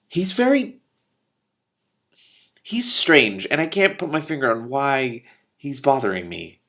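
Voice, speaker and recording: A man's voice: mid-range voice, big pitch swings, measured pace, pushed and bright. A man reading a novel aloud expressively and breaking in with casual, spontaneous reactions. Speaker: reader commenting on a book.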